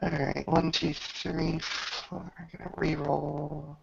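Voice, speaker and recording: A man acting as game master, improvising narration for a tabletop roleplaying game in a halting, thoughtful manner. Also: a little high, little pitch movement, vocal fry, lo-fi sound, slight background noise.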